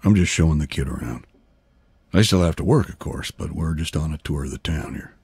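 An actor playing a gruff, weary middle-aged detective in a video game cutscene. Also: male voice, extremely low pitch, brisk, darkish tone, no room sound, exaggerated voice. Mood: dry, easygoing. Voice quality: husky, gravelly.

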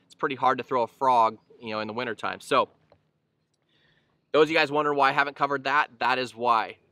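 Bright, clear-voiced man teaching outdoors. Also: medium pitch, dry recording. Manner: easygoing, explanatory, frequent fillers and restarts. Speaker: fishing channel host. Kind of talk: casual instructional talk to camera. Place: outdoors on a boat on a lake.

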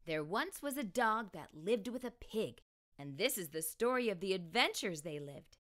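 A middle-aged woman reading aloud to young children as a storytime host, with expressive, deliberate delivery. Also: mid-range voice. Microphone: lapel mic.

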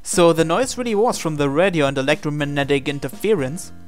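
Deadpan slow man reading a game. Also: a little high, faint music behind. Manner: dry, deadpan asides. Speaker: game player reading and commenting. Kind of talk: reading narration and dialogue aloud.

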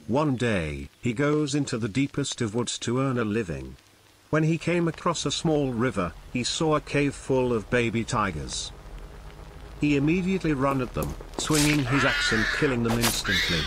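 A man's voice: mid-range voice, very animated, moderate pace, a bit bright, slight background noise. A man narrating a scripted fairy tale as a storyteller.

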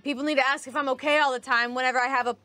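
A young woman on a studio card-game stream, pitching herself in a playful, mock-boastful, giggly way. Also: steady tone, racing, sharp tone, no room sound, soft background music. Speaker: stream show cast member. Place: studio set with a card table.

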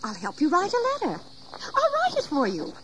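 A woman's voice: very high voice, wildly swooping pitch, moderate pace, heavy background noise, exaggerated voice. A woman playing a genteel, proud and anxious plantation mistress in an audio history drama, with emotional delivery. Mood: genteel concern. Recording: 1980s audio drama, insect ambience.

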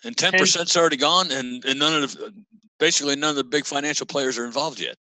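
Male moderator on a video call, slightly high voice, very bright edge, quick casual questions. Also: low-quality recording. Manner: asks quick follow-up questions.

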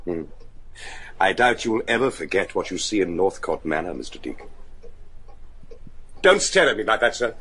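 A man's voice: deep voice, lively intonation, moderate pace, pauses often, fairly bright tone, husky, full character voice. A man playing a bitter, haunted young heir of a gloomy manor in a gothic radio drama, formal, evasive and ominous. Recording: noisy radio drama track with ambience.

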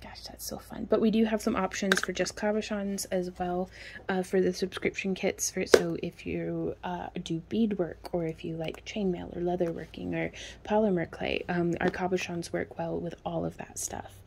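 A woman's voice: on the low side, little pitch movement, moderate pace, vocal fry, noisy background. A woman, chatting casually as a craft shop owner while showing her products at her home workspace, rambling and laughing often. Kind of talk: casual product show-and-tell.

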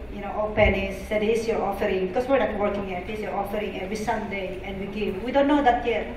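A woman's voice: a little high, darkish tone. Filipino-accented woman in a very echoey hall. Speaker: church member giving testimony. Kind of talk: personal testimony and scripture reading. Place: church auditorium stage. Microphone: handheld microphone through PA, far camera. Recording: echoey hall PA, distant, faint noise.

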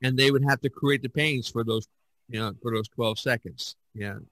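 A man's voice: mid-range voice, steady tone, moderate pace, a bit bright, no room sound. A man hosting a podcast in casual discussion, rambling with many fillers and restarts. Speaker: podcast host.

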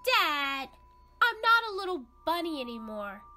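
A woman's voice: high voice, big pitch swings, unhurried, bright, forceful tone, faint music behind, voice pushed up, exaggerated voice. A woman voicing an eager, defiant young boy rabbit in a storybook, pleading and emphatic. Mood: insistent.

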